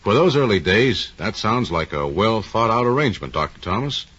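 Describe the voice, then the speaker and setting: Curious radio interviewer, deep, husky, polite prompting, 1940s style, lo-fi old recording. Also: male voice, very animated, normal speed, fairly bright tone, full character voice. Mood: curious, impressed. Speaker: host.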